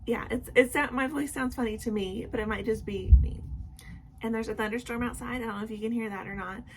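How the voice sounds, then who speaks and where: A young woman talks casually into her camera at home at night, her voice a little high and touched by a sore, sick throat. She rambles warmly with plenty of ums and likes, often apologizing. A faint hum of room ambience sits underneath. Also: fairly even intonation, a little fast, rough recording. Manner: apologetic, chatty, many "um"s and "like"s. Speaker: vlogger. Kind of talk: casual nightly vlog chat.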